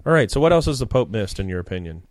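A man in his late twenties co-hosting a casual chat, joking and animated, with lots of fillers. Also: on the low side, rapid-fire, no echo. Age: 20s. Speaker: podcast co-host.

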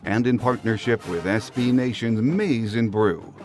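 A man's voice: lowish voice, unhurried, faint music behind. A man reading a polished, scripted podcast introduction as the show's announcer. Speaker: show announcer.

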